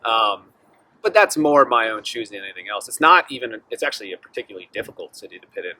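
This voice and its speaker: A young man from Northern Virginia talks fast and low-pitched in a loose, candid interview. He rambles, swears freely and acts out quoted people in mocking voices. Steady background noise sits under the recording. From Northern Virginia, USA. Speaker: street artist guest. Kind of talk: casual interview chat. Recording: noisy background ambience.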